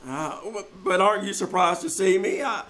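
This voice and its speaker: An excitable newspaperman from old-time radio drama talks in a very high male voice, acted by a man. He is easily flustered and stumbles over words in a theatrical 1940s manner. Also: moderate pace. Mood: eager. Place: live community theater stage performance.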